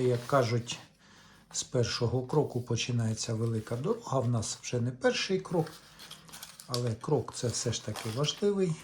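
A man giving a casual hands-on demonstration as a video host, speaking in short, halting, unhurried phrases. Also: mid-range voice, a little mellow, slight background noise. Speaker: host of a reel-to-reel repair channel.

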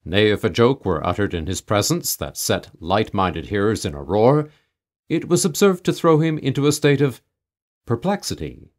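A man reading a humorous old literary tale aloud as an audiobook narrator, in measured, mock-solemn storytelling. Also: lowish voice. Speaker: audiobook reader.

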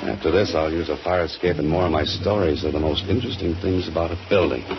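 A man's voice: low voice, fast, faint music behind, natural voice. An actor playing a cool, dry-witted male mystery writer who sleuths, in 1940s radio drama style. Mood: wry. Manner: dry, wisecracking. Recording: muffled 1940s radio broadcast.

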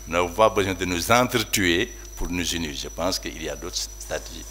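Deep-voiced man giving a measured academic talk. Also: pushed and bright, slight background noise. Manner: measured, reflective, hedging remarks. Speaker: conference speaker.

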